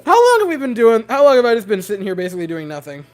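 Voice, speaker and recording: A young man with a hoarse, worn-out voice, rambling giddily to his livestream chat from home. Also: high voice, wildly swooping pitch, very fast, soft background music. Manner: giddy, rambling, talking to chat. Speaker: streamer.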